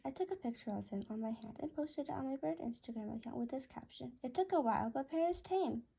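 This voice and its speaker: A woman's voice: on the high side, gravelly, dark tone, husky. A woman reading a first-person storybook aloud as scripted narration. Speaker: author reading own book aloud.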